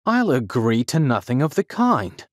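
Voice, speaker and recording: A hunted sportsman in an audio drama. He speaks slowly and with strong swings of intonation, tense but determined. The recording is dry. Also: mid-range voice, huge pitch swings, no room sound. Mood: defiant. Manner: tense, resolute. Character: determined, hunted male big-game hunter under strain.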